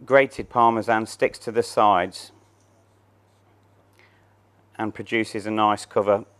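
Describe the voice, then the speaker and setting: A man in his thirties gives a cooking demonstration, his voice sitting somewhat low. He explains each step plainly while he works, picked up on a clip-on mic in a large showroom kitchen. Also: fairly even intonation, takes it slowly, a bit bright. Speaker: chef.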